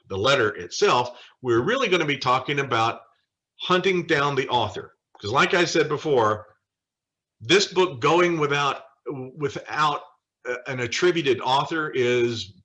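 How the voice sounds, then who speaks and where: A mature man leading a study group over a video call, lecturing informally and telling stories in an animated way. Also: medium pitch. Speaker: Bible study leader. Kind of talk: informal lecture with personal anecdotes.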